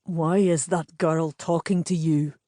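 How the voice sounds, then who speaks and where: A woman's voice: sits low, fairly even intonation, slow, warm tone, no room sound, clean studio sound. A woman voicing a wounded, wary Scottish knight with a suspicious, snarling manner in an audiobook, with a Scottish accent. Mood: grim. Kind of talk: audiobook character voice.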